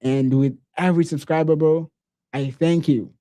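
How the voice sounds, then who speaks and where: A man hosts a podcast in a loose, casual way, dropping slang and "bro" as he talks. His voice is warm and mellow and sits a little high for a man. The sound is dry and close, with almost no room in it. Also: moderate pace, dry recording. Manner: relaxed, slangy, says "bro" often. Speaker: podcast host.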